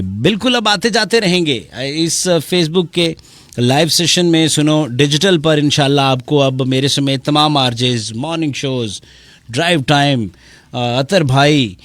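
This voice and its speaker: A man's voice: fairly high pitch, no room sound. A man with a Pakistani accent hosting a casual live session, reading out listeners' messages with teasing, theatrical banter and slipping into Punjabi and English. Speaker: radio show host on a live social media session. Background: music playing.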